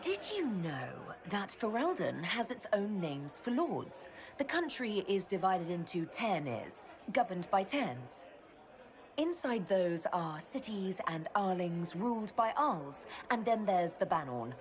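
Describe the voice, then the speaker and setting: A woman's voice: medium pitch, big pitch swings, slow pace. A woman playing a composed, scholarly female mage leader in a video game, speaking in an articulate, polished way. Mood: chatty, informative. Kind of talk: video game dialogue acting.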